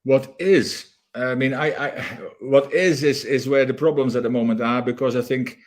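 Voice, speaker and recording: A man's voice: medium pitch, fairly even intonation, normal speed. A man being interviewed as a leadership trainer, explaining in an emphatic, conversational way with quoted examples.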